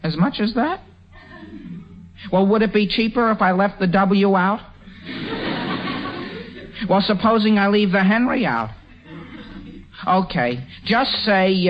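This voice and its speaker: An actor playing an earnest, scheming teenage boy with a hesitant stammer in a 1940s radio comedy. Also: high voice. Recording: muffled old radio broadcast recording.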